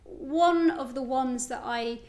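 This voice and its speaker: A woman's voice: high voice, slow pace, darkish tone. A woman poet speaking informally and with self-deprecation in a live literary conversation. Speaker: guest poet. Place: indoor public event venue. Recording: echoey event room.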